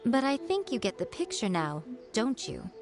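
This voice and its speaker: A woman playing a poised, teasing shrine maiden in an anime game, speaking with sly, measured authority. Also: fairly high pitch, big pitch swings. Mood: stern, composed. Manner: sly, composed, measured lecturing. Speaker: video game voice actress. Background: game music.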